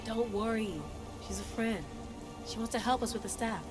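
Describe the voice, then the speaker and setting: A woman's voice: fairly high pitch, steady tone, normal speed, over loud music, lightly acted. An actress playing a determined young female adventurer in a video game, confident and reassuring. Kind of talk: scripted game cutscene acting.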